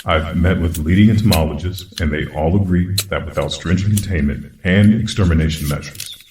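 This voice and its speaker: A man playing a grave, authoritative in an audio drama, speaking with measured weight. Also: low voice, lightly acted. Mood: solemn, formal. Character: grave, secretive male President of the United States. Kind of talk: audio drama acting, briefing and formal speech. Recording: echoey, distant, faint noise.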